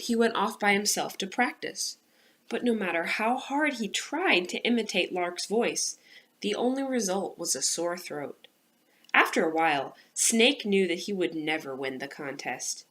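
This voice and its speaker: Woman music teacher, expressive and instructive. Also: lowish voice. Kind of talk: instructional presentation, then storybook read-aloud.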